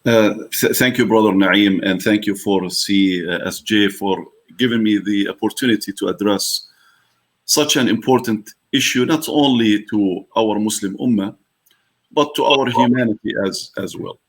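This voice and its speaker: A man from Palestine giving a formal political address as a guest speaker, deliberate with some hesitations and repeated phrases. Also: lowish voice. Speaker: guest speaker, advocacy director.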